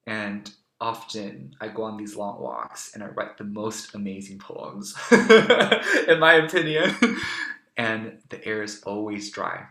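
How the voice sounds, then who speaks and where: A young man from Taiwan, a guest musing thoughtfully through casual answers with soft fillers. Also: on the low side, animated, slow. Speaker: interview guest. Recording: roomy echo.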